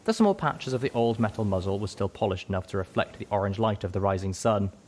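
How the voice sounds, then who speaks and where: A man reading a first-person story aloud as an expressive scripted narration. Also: fairly low pitch, fast talker.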